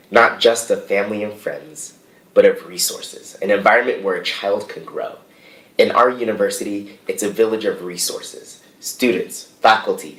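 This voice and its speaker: A young man from the United States giving a prepared, formal speech as a student leader, in a measured, earnest manner. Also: lowish voice, a bit bright. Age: young adult. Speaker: student association president. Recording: distant, echoey remote audio.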